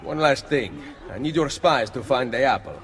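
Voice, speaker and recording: An actor playing a calm, resolute Italian assassin leader in a dramatic video game scene. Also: male voice, mid-range voice, moderate pace. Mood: earnest, firm.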